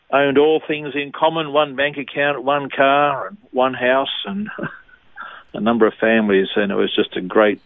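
A man from New South Wales with an Australian accent, calmly and earnestly telling his story of faith as a guest. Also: mid-range voice, dry recording. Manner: calm, earnest, devout. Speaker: ministry leader, interview guest. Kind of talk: reflective conversational storytelling. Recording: tinny phone line.

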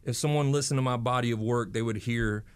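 A singer-songwriter in his forties talking casually and reflectively between songs, often pausing and restarting his sentences. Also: mid-range voice, steady tone, clear, resonant tone. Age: 40s. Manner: reflective, halting, many fillers and restarts.

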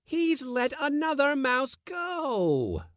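A man's voice: on the high side, very animated, takes it slowly, darkish tone, no echo, studio quality, pitched above the performer's own voice. A man voicing an irascible middle-aged male Latin schoolmaster who blusters and scolds in a raised, strained voice. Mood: furious.

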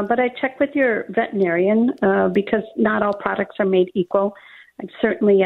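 A woman's voice: lowish voice, vocal fry. A woman veterinarian with a Minnesota accent, giving practical pet advice as a guest on a radio call-in show, with many ums and uhs. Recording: phone-line call.

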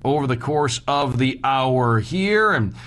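A man's voice: mid-range voice, expressive, slow, fairly bright tone. A man hosting a sports radio show, running through hockey details in a conversational rundown and recapping key points.

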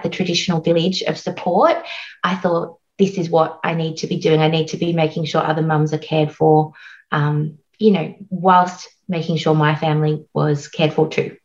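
An Australian woman in her thirties talks openly and chattily, full of "like" and "um". Her voice sits fairly low, and the recording sounds a bit dull and lo-fi. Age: 30s. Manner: warm, chatty, frequent "like" and "um". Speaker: guest, postpartum doula. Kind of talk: casual interview storytelling.

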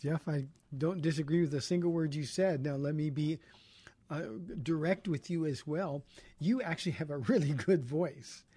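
A man's voice: on the high side, normal speed, mellow, slightly rough, no room sound. A man hosting a call-in radio show as a pastor, answering callers in an earnest, encouraging way and often restarting his sentences. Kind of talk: call-in radio Bible talk.

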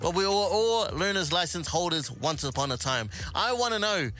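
A man's voice: high voice, lively intonation, normal speed, pushed and bright. A man co-hosting a radio show, bantering playfully and teasing his co-host. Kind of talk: casual banter.

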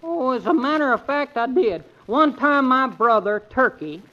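A man playing a slow-witted, folksy rustic neighbour in a 1940s radio comedy, with a drawn-out delivery. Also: very high-pitched, animated. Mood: amiable, oblivious. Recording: noisy muffled radio recording, studio audience.

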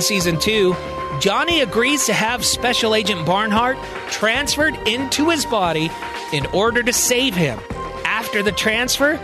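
A man narrating a scripted audio drama as storyteller, in deliberate, measured phrases. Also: high voice.

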